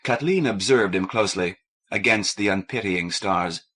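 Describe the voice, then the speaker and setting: Low-pitched man narrating an audiobook. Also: moderate pace, sharp tone. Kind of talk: scripted novel narration.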